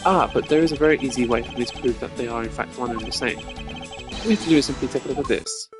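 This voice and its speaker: A man voicing a composed, determined prosecutor from a video game, speaking formally and in measured phrases. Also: medium pitch, over music, lightly acted. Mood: confident, explanatory. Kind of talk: video game dialogue acting.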